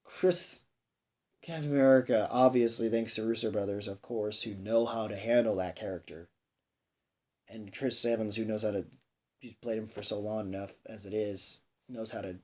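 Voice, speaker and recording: A man giving a casual, unscripted movie review, rambling and halting, repeating himself emphatically and sounding a bit tired. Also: on the low side, fairly even intonation, a little mellow. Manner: sweary, emphatic. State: tired, sighing.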